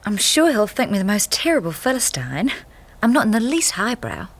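An actress playing an unpretentious, impressionable young wife in a radio drama, earnest and emotional in manner. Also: female voice, mid-range voice, very animated, normal speed. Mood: frank wonder. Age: young adult. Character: impressionable, anxious young married woman, unpretentious.

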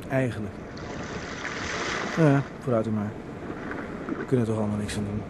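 A man's voice: fairly low pitch, steady tone, normal speed, natural voice. An actor playing a wry, teasing older brother in his thirties, speaking in naturalistic radio play dialogue with a dry, mocking manner. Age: 30s. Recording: noisy old radio play recording.

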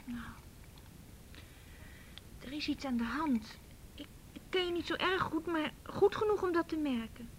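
A high-pitched young woman in a radio drama. She is outspoken and inquisitive, with an emotional, probing delivery. A faint rumble sits behind her. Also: expressive, unhurried, long pauses, rough recording. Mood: probing, frustrated. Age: young adult. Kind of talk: naturalistic Dutch radio drama acting.

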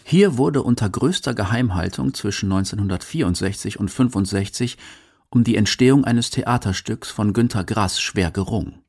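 Husky, creaky male documentary narrator. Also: fairly low pitch, moderate pace, darkish tone. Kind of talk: scripted narration of a radio feature.

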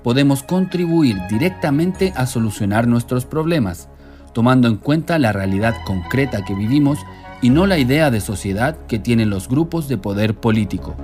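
A man's voice: medium pitch, animated, moderate pace, darkish tone. A man reading scripted, informational radio narration. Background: music.

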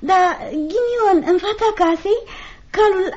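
A woman's voice: extremely high pitch, darkish tone. An actress in a radio play playing a chatty, naive middle-aged widowed mother, fluttery and deferential. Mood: gushing. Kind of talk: Romanian radio theatre acting. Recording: muffled, noisy old radio recording.

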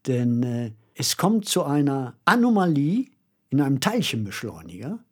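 Male co-host with a rough-edged, breathy mid-range voice, musing and emphatic while presenting conversationally. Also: medium pitch, big pitch swings, moderate pace, darkish tone. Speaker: podcast co-host. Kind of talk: conversational presenting of radio plays.

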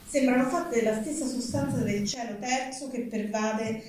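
Female reader of literary prose, medium pitch, heavily reverberant room with faint noise. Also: moderate pace, darkish tone. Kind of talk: reading literary prose aloud. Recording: echoey noisy hall, distant mic.

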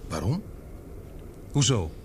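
An earnest young journalist in a radio play. His voice is on the low side and moves in very lively swings of pitch, over clear background noise from an old recording. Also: slow. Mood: concerned, puzzled. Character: earnest young male journalist on an expedition ship. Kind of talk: Dutch radio drama acting.

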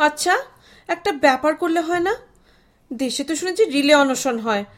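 A woman acts out a worried Bengali wife and mother in an audio drama. Her voice is extremely high, and she sounds fretful and exasperated as she pleads with her family. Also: expressive, normal speed. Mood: resigned coaxing. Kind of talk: stage-style radio play acting.

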